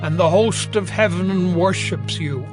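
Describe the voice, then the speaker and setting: An actor playing a reverent Levite praising God in a scripture drama, exalting and deliberate. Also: male voice, sits high, over music. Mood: reverent praise.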